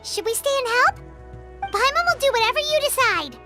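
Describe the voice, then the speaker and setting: A super high squeaky little fairy girl from a game, eager, bouncy pitch, music blaring. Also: sing-song, brisk. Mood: concerned, curious. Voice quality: squeaky, childlike. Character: small childlike female floating fairy companion, eager and caring. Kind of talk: English video game voice acting. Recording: loud game music behind.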